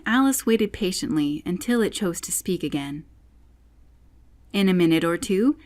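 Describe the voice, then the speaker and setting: A woman reading a children's classic aloud as a scripted audiobook narrator. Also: mid-range voice.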